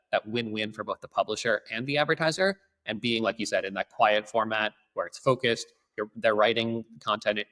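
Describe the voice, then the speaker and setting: A man's voice: fairly low pitch, pushed and bright. A man speaking as an interview guest, explaining things in a detailed, businesslike way. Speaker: podcast guest, advertising company lead.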